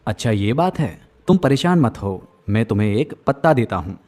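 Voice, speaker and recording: Man playing a mellow, fatherly magical tree. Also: mid-range voice, animated, moderate pace, close to an everyday voice. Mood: gentle, reassuring. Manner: reassuring, fatherly address. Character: kindly old talking magical tree, fatherly and gentle. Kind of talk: children's moral story acting.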